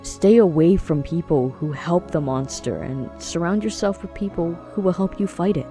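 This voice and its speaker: A man's voice: fairly high pitch, moderate pace, mellow, soft background music, close to an everyday voice. A man playing an earnest, supportive young friend in an audio drama, speaking with emphatic, reassuring phrasing. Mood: firm advice.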